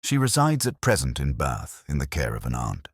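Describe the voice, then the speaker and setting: A man's voice: extremely low pitch, huge pitch swings, moderate pace, breathy edge, dry recording, studio quality, exaggerated voice. A man voicing a grave, reserved Victorian solicitor in an audiobook, speaking formally. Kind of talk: audiobook detective story.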